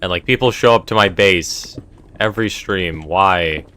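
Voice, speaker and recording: A man's voice: low-pitched, a little slow, fairly bright tone, slight background noise. A young man chatting casually on a live stream, answering chat and rambling, his voice a little strained. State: voice feeling strained. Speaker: streamer running a game server.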